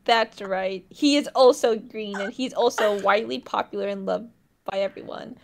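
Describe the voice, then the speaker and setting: A woman improvising a giddy, besotted girlfriend vlogger for a comedic dub, rambling haltingly and giggling. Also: mid-range voice, creaky, natural voice. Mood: smug, smitten. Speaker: fandub performer. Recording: noisy home mic with clicks.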